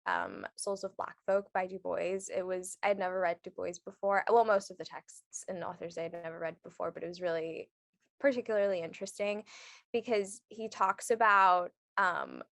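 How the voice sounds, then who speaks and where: Earnest young woman with vocal fry. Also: lowish voice, moderate pace, fairly bright tone, no room sound, clean studio sound. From New York City. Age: young adult. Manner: earnest, reflective. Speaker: program alumna. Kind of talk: reflective personal testimony.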